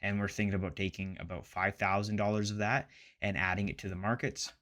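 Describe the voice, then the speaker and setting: Low-pitched young man, casual vlog chat. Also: flat delivery, brisk, fairly bright tone. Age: late 20s. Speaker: personal finance vlogger. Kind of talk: casual chat to camera about investing.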